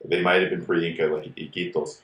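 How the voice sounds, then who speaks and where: A man who grew up in Ecuador tells stories as a podcast co-host, rambling and animated, with "like" in nearly every phrase. His voice sits low. The room around him is echoey. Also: fairly quick. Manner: rambling and animated, many "like" fillers. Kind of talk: casual storytelling chat.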